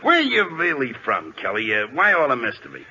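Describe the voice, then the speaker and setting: An actor playing a gruff, good-natured American baseball catcher in slangy, ungrammatical 1940s radio comedy style. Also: male voice, mid-range voice, wildly swooping pitch, sharp tone, heavily acted. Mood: wry, curious. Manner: slangy, ungrammatical, drawn-out hesitations. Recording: dull, hissy old radio recording.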